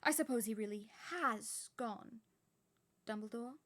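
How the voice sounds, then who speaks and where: A woman's voice: fairly high pitch, a little slow. Woman doing a stern, irritable professor. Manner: clipped and impatient. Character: stern middle-aged female professor, irritable.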